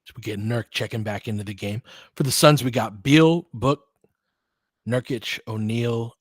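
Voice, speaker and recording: A man's voice: medium pitch, moderate pace, dry recording. An American man hosts a casual basketball play-by-play in a mid-range voice. He calls the action in short, clipped phrases and drifts into chatty, informal asides. The sound is dry, with very little room. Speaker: fan host doing play-by-play commentary. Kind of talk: casual live basketball commentary.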